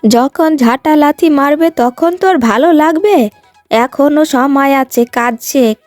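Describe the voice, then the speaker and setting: A stern village mother, acted by a woman in a cartoon moral story. She speaks emphatically in a high voice, close and dry over music. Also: unhurried, a little mellow, no echo. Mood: exasperated, scolding. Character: stern, scolding mother of a grown daughter. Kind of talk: cartoon moral-tale voice acting.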